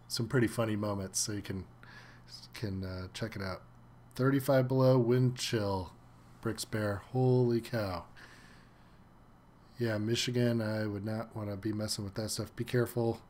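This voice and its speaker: A man's voice: medium pitch, a little mellow, a little hiss or hum. A man hosting a casual live stream from home, slightly stuffy from a cold, chatting loosely with many fillers and greeting viewers by name.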